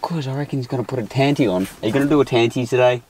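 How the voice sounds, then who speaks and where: A man's voice: medium pitch, rapid-fire, background noise. A man chatting and teasing dryly inside a car. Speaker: husband in a family vlog. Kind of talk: casual banter.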